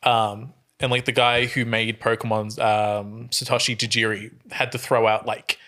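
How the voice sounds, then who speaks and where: A man's voice: mid-range voice, little pitch movement, moderate pace, sharp tone. A man co-hosting a casual podcast, explaining things in an earnest, rambling way. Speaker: podcast co-host.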